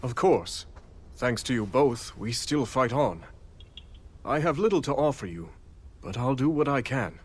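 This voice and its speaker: A man's voice: medium pitch, animated, measured pace, breathy edge. A man playing a solemn, gracious and cautious king in a video game, speaking in a formal, stately way. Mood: grateful. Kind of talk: video game cutscene acting.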